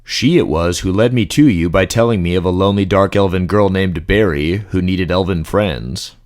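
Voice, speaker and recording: A man playing a male dark elf general, composed, commanding and formally courtly, in a fantasy audio drama. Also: low-pitched, normal speed, close to an everyday voice. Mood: measured, guarded.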